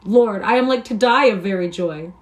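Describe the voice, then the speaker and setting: A woman's voice: mid-range voice, moderate pace, lightly acted. A woman reading the part of an eager young soldier who has just come home, speaking in impassioned, old-fashioned English. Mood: elated. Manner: impassioned, archaic speech.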